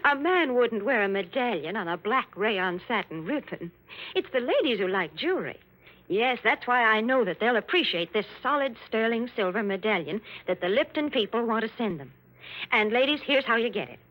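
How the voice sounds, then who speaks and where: High, lively 1940s radio spokeswoman. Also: normal speed, rough recording. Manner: chatty, persuasive. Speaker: sponsor spokeswoman. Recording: vintage 1940s radio broadcast.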